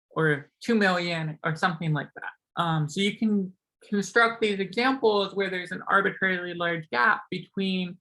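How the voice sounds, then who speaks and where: Young male seminar speaker, voice a bit sore, bright tone, loose chatty delivery with many fillers. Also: high voice, low-quality recording. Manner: casual, many ums and likes, self-correcting. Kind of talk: academic math talk.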